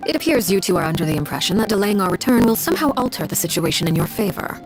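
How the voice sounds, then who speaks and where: A woman's voice: medium pitch, big pitch swings, rapid-fire. A woman playing a stern, coolly composed, unyielding video game character who speaks in a formal, authoritative way. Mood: cold, dismissive. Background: game music.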